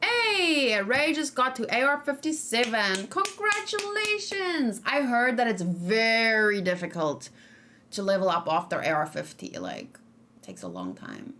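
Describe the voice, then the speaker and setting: A woman's voice: a little high, sing-song, unhurried, bright, forceful tone, a little hiss or hum. A young woman streaming and reacting live, chatting casually, reading viewer comments aloud and rambling with frequent fillers. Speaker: streamer reacting to game character.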